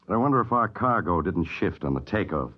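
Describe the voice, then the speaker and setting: An actor playing a courteous, authoritative spaceship captain in a mid-century radio drama, with a measured manner. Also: male voice, deep voice, very animated. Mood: thoughtful, courteous. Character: steady, courteous, commanding male spaceship captain. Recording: dull vintage radio broadcast recording.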